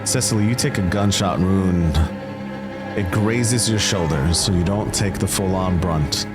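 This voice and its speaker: A man working as game master, narrating tabletop roleplay scenes deliberately to the players. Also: sits low. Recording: music under the voice.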